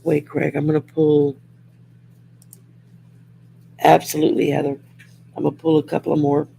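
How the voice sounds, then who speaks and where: A middle-aged woman with a growly, gravelly voice from throat surgery, chatting casually on a livestream while pulling tarot cards, rambling and restarting often. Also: low voice, completely flat delivery, normal speed, mellow, slight background noise, rough recording. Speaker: host and tarot card reader. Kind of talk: casual livestream chat with card reading.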